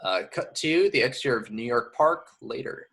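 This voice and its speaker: A man's voice: mid-range voice, expressive, normal speed, creaky, fairly bright tone, breathy edge. A man narrating scripted action descriptions at a table read. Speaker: stage direction narrator.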